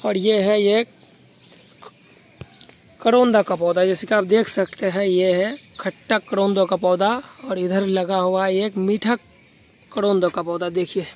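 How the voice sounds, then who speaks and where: Man, high voice, Bengali accent, native Bengali speaker, informal gardening vlogger, repetitive phrasing, faint outdoor noise with birds. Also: steady tone, measured pace. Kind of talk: informal video tour narration.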